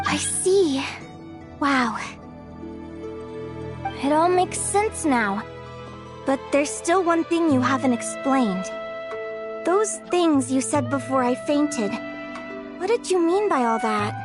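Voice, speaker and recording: An actress playing an earnest, curious young changeling heroine. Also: female voice, high voice, animated, slow, long pauses. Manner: earnest, questioning. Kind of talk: game voice acting. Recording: game audio with faint music.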